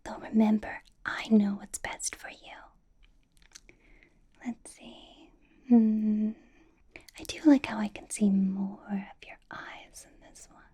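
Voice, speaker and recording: A woman voicing a possessive, doting, commanding ancient vampire boss in an ASMR roleplay, in a breathy whisper with coaxing hums. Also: medium pitch, level tone, takes it slowly, pauses often, dark tone.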